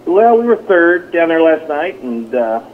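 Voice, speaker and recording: A man's voice: fairly high pitch, big pitch swings, a little slow. A plain-spoken, folksy man, a track coach, giving a casual interview over the telephone. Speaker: high school track and field coach. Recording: tinny phone line with noise.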